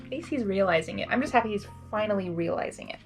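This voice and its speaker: A woman reading a story expressively and breaking into casual, chatty, excitable reactions. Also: medium pitch, fast, soft background music. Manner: excitable, giggly asides. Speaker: fan fiction reader and reactor.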